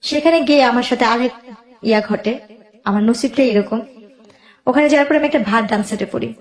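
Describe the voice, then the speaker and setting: A woman's voice: a little high, brisk, echoey room. A woman with a Bangladeshi accent tells her own life story as a guest, emotionally, quoting both sides of conversations as she goes.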